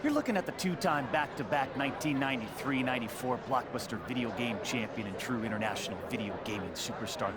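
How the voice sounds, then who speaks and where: A man's voice: mid-range voice, quick pace, hardly any pauses, lightly acted. A man playing a boastful, easily offended self-styled video gaming champion in a game cutscene, with bombastic, grandiose delivery. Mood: pompous boasting. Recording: loud crowd and outdoor urban noise.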